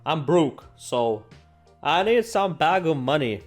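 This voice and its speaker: A man's voice: on the high side, big pitch swings, takes it slowly. A man hosting a let's play, reading game text aloud in a playful, theatrical way with joking asides. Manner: playful, theatrical, exclaims and jokes to himself. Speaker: gaming video host. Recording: music behind the voice. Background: game music.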